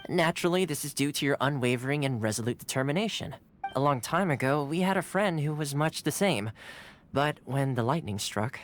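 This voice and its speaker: An actor playing a courteous, playful yet earnest young retainer in a video game, speaking in polite, formal phrases. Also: male voice, a little high, animated, a little fast, slight background noise, lightly acted. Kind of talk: scripted game cutscene dialogue. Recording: music behind the dialogue. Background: game music.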